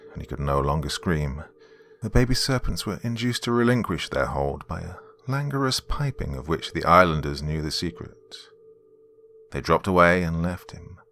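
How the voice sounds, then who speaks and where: A man with a low, deep voice narrates a gothic horror story. His delivery is formal and measured, in the manner of a storyteller reading from a script. Faint music plays behind him. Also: a bit bright. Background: background music.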